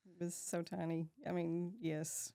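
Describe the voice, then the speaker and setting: A woman's voice: on the low side, flat delivery, unhurried, creaky, warm tone, dry recording, studio quality. A woman, chatting as an interview guest, laughing often and trailing off mid-sentence. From Texas, USA. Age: 50s. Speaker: guest, shop owner. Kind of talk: casual interview chat.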